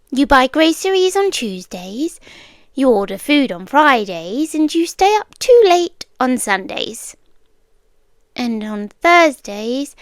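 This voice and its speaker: Woman playing a soft, slow British yandere girlfriend, high-voiced. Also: no echo, full character voice. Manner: slow, deliberate, unnervingly calm, often drifting into whispers. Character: calm, obsessive yandere stalker girlfriend, young woman. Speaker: ASMR roleplay performer. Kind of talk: ASMR roleplay, soft close speech. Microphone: close microphone.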